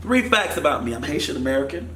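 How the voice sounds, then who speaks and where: Animated man in an echoey studio. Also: on the high side, fast talker. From Miami, Florida. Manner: animated, gestures while talking. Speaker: football offensive coordinator. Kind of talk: casual interview. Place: studio set with sponsor backdrop. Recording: echoey room with faint noise.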